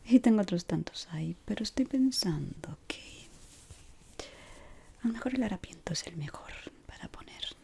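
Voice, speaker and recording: A woman from whispers softly and without hurry in an ASMR roleplay, gently giving instructions as a decorator guiding a newcomer. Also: mid-range voice, lively intonation, vocal fry. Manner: soft, unhurried, gently instructing.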